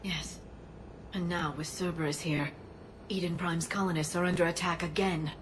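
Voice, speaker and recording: A woman plays a composed female alien scholar in a sci-fi game. Her slightly low voice stays measured and earnest. Steady background noise sits under it. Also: lightly acted.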